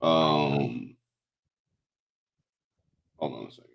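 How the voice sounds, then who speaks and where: A man with an extremely deep voice talks in a loose, casual way, full of fillers and the odd curse. He grew up in New York after being born in Puerto Rico. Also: extremely slow, vocal fry. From born in Puerto Rico, raised in New York. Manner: loose and profane, with many fillers. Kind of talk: casual conversation.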